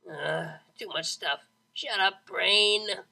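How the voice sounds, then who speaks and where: A man's voice: high-pitched, slow, gravelly, sharp tone, voice pushed up, full character voice. A man voicing a hungover young female pony DJ, brash and flustered, grumbling and exclaiming in an audiobook. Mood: muddled, irritated.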